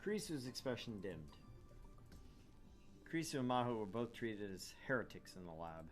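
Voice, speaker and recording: A man's voice: mid-range voice, very animated, moderate pace, faint music behind. A man reading a visual novel aloud as narrator, dropping in wry, joking casual banter. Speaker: let's play host narrating a visual novel.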